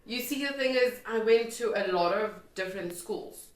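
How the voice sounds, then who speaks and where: A woman's voice: mid-range voice, moderate pace. Echoey woman. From Lesotho and South Africa. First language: Sotho. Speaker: interview guest, music and poetry artist. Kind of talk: conversational life-story interview. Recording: echoey room, distant microphone.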